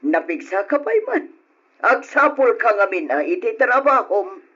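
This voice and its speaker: A man playing a stern, husky Ilocano landlady. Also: high-pitched, big pitch swings, unhurried, sharp tone, heavily acted. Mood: scolding. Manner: scolding, blunt. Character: stern older female landlady demanding rent. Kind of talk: old radio drama acting. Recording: dull lo-fi old radio recording.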